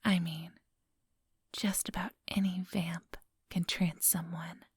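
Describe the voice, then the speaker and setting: A woman playing a centuries-old vampire boss in an ASMR roleplay, whispery and breathy, speaking close to the microphone in a silky, patronizing, quietly menacing manner. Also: lowish voice, level tone, no echo, exaggerated voice. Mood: smooth, appraising. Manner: slow, unhurried, silky and patronizing.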